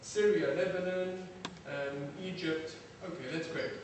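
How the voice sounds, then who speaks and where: A middle-aged man from South Africa giving a lecture to an audience, halting often with fillers and restarts. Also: fairly high pitch, fairly even intonation. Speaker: defense attaché giving a lecture. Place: lecture hall. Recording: very echoey hall, from far off, faint noise.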